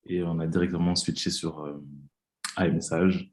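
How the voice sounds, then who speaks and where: Very deep-voiced young man. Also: moderate pace, slight background noise. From Belgium. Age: 20s. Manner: relaxed, hesitant, many fillers. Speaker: podcast guest. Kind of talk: casual interview talk.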